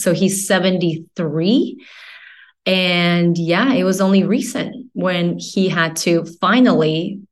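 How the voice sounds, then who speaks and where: A woman in her forties from Latvia, a native Russian speaker, chatting as a podcast guest in a rambling way, with lots of fillers and restarts. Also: lowish voice. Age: 40s. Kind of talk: casual interview chat.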